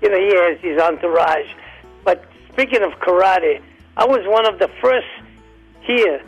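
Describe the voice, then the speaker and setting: An older man, an entertainer guest, reminiscing in casual chat with a hoarse, weakened voice and halting, rambling speech full of restarts. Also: high-pitched, lively intonation, dull audio, soft background music.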